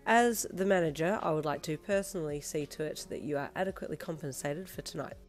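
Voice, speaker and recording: A composed restaurant manager who keeps a low, soft, carefully polite tone, voiced by a woman narrator. Faint music sits under the reading. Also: fast talker, natural voice. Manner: strained politeness.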